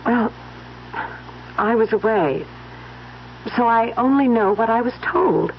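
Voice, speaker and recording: An actress playing a gentle, weary young wife, hesitant and emotional in an old-time radio mystery. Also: female voice, on the high side, animated, slow pace. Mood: hesitant, sombre. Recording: dull, noisy old radio recording.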